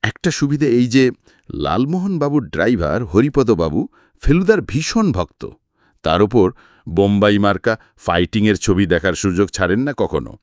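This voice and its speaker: Very animated male storyteller. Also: on the low side, moderate pace, dry recording, clean studio sound. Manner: expressive, acts out dialogue. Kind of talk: dramatised audiobook narration of a detective story.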